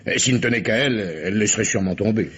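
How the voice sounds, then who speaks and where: An actor playing an earnest, disgusted undercover policeman with a soft heart in an old French radio crime drama, speaking deferentially in a husky voice. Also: fairly low pitch, racing. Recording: dull lo-fi old radio recording.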